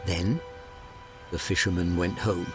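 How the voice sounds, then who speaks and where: A man narrating a fairy tale in a measured, dramatic storybook style. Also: low-pitched, steady tone, music in the background.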